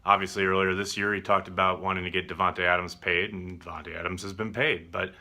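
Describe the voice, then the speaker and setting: A man giving casual sports commentary as a show host, measured and conciliatory in how he responds. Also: deep voice, level tone, bright, forceful tone.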